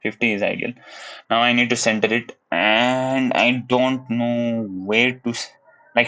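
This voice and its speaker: A young man from Nepal with a Nepali accent, live-streaming his coding practice in casual commentary. He thinks aloud in a relaxed way, hums little la-la tunes as he works, and sometimes drops into Nepali. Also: lowish voice, a little hiss or hum, poor audio. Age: young adult. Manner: laid-back, chuckles, switches into Nepali. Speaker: livestreamer learning web coding.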